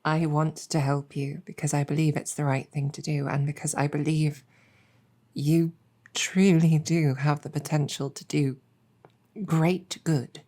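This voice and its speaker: A woman voicing a weary, earnest demon general in an intimate audio roleplay, speaking reflectively with hesitant pauses. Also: low-pitched, flat delivery, dark tone. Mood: tender, earnest.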